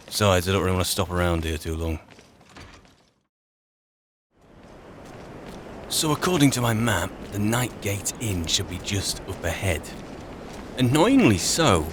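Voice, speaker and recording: A man's voice: low voice, a bit bright. A man playing a weary, grumbling wandering mage, musing and muttering drily in an in-character video game roleplay. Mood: dry, irritated. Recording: faint game ambience noise.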